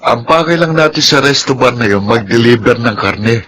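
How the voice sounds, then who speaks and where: An actor playing an exasperated, admonishing business partner in a Filipino radio drama, with a Filipino accent. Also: male voice, medium pitch, lively intonation, normal speed, sharp tone, slight background noise. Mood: exasperated warning. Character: nagging, suspicious male business partner, worried family man.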